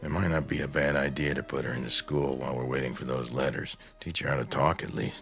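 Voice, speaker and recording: An American actor playing a stern, practical, middle-aged husband, blunt and insistent, in a radio drama. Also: male voice, extremely low pitch, level tone, fast, gravelly, a bit bright, faint music behind, full character voice. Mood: matter-of-fact. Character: stern, practical, impatient small-town husband.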